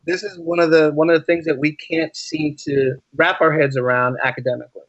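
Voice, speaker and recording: A man from the US East Coast with a somewhat high voice speaks casually, like a teacher explaining an idea to a friend. He often stops to check in with rhetorical questions. The recording is a little muffled and lo-fi. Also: fairly even intonation, brisk, fairly bright tone, no echo. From United States, East Coast. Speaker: podcast guest, English as a second language teacher. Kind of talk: casual interview conversation.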